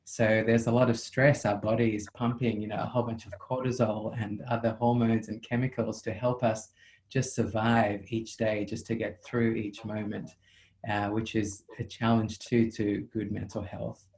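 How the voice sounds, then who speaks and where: A psychotherapist with a South African accent, answering interview questions in a measured, reflective way over a video call, with frequent ums. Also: male voice, lowish voice, little pitch movement, a little mellow, a little hiss or hum, rough recording.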